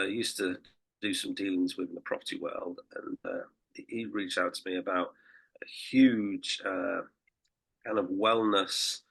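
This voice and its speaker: A man co-hosting a podcast in casual chat, croaky from a late night, rambling with restarts and fillers. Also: low voice, a bit bright, rough recording. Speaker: podcast co-host and political campaigner.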